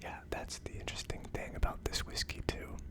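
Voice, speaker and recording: Whispering ASMR host, barely voiced. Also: a little fast. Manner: hushed, unvoiced whispering throughout. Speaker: host of an ASMR whiskey tasting show. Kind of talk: ASMR, casual tasting review.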